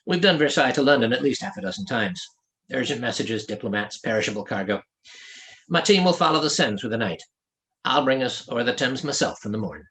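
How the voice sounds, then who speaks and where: A man's voice: medium pitch, lively intonation, brisk, fairly bright tone, rough recording. A man voicing a loyal ship's weathermaster with a Scottish accent, plain-spoken, respectful and assured, in a storybook reading. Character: loyal Scottish male weathermaster of a flying ship.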